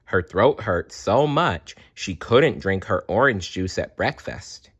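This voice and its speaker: A man reading a children's storybook aloud as a storyteller, deliberate and often clearing his throat. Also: lowish voice, lively intonation, fairly bright tone, dull audio.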